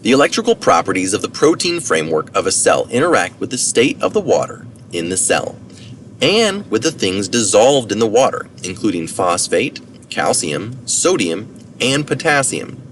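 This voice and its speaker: A man's voice: lowish voice, sing-song, normal speed, fairly bright tone. A man reading a science article aloud as narration, with informal asides for citations. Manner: informal asides for citations, occasional self-correction. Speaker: reader of articles. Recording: distant mic, noticeable background noise.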